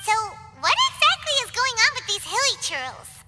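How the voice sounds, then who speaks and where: A woman voicing a tiny, excitable female companion creature in a video game cutscene, who exclaims often and refers to herself by name. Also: very high-pitched, moderate pace. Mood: curious. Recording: echoey game cutscene with loud music.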